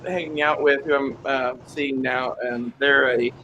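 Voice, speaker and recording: A man's voice: medium pitch, fairly even intonation, a little slow, pushed and bright, slight background noise, low-quality recording. A man chatting candidly and bluntly on a podcast, laughing often. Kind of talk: casual podcast chat.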